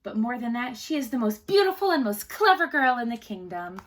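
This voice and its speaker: A woman's voice: a little high, big pitch swings, brisk. A woman voicing a proud, boastful poor miller in a storybook read-aloud, bragging eagerly.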